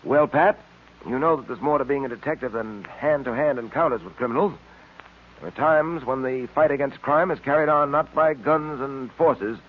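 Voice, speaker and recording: An American actor playing a confident, brainy police detective in an old radio serial, explaining things with composure. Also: male voice, mid-range voice, natural voice. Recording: tinny, band-limited old radio recording.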